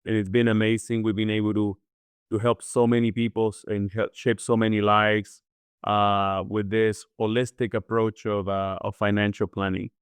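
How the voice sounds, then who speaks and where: A man's voice: fairly low pitch, flat delivery, normal speed, clear, resonant tone, no room sound. A man from Chile speaking as a financial planner guest, explaining things conversationally and often checking in with the listener. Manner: explanatory, often seeks agreement with "right?".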